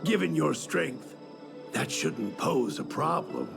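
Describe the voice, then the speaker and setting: A man acting as a zealous cult disciple and former spearman in a video game, with a gravelly voice and deliberate, solemn, theatrical delivery. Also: a little high, lively intonation, full character voice. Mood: measured, instructing. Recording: loud music under the voice.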